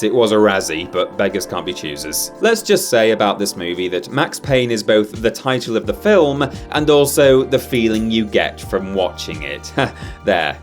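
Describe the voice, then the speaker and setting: Wry, animated man narrating a countdown. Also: on the low side. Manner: wry, tongue-in-cheek. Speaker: presenter of a ranked list video. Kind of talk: scripted narration, list countdown with wry asides. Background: background music.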